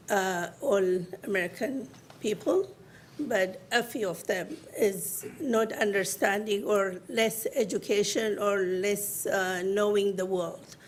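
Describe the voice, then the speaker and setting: A woman from Africa and the Middle East speaks as an on a discussion panel. She talks very slowly, in halting phrases with many fillers, at a middling pitch. A faint noise runs underneath. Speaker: panel guest.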